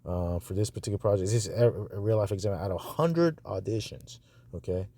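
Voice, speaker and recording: A man with a low, mellow voice talks casually as he reviews auditions. His delivery is halting and he tends to repeat himself, like someone thinking aloud. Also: no echo. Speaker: host reviewing voice-over auditions. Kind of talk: casual screen-recording commentary.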